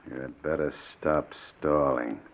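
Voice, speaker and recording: Very deep, gravelly menacing gunman. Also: steady tone, exaggerated voice. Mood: threatening. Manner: commanding, deliberate, threatening. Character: cold, menacing gunman bent on vengeance. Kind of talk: old-time radio suspense acting. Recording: dull, noisy old radio recording.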